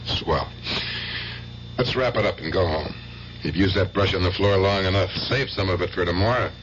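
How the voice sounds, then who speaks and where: An actor playing a streetwise, skeptical, scheming uncle in an old radio drama. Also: male voice, deep voice, moderate pace, a bit bright. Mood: gruffly indulgent. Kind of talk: 1970s radio drama acting. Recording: muffled, hissy old radio recording.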